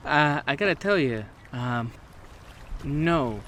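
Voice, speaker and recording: A man's voice: on the high side, animated, slow, a bit bright, a little hiss or hum, pitched above the performer's own voice. A man voicing a nervous, wary woman in a tabletop game, hesitant and colloquial. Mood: nervous, reluctant. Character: nervous, wary woman reluctant to join danger. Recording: music behind the voice.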